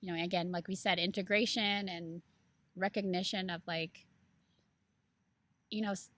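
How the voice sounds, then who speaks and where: A woman with a bright, clear tone talks through a book passage in a loose, casual way. She rambles and circles back, filling her sentences with "like" and "you know". The talk stays earnest and unscripted. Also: on the low side, steady tone, moderate pace, no room sound. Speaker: book club co-host. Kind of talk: casual podcast discussion.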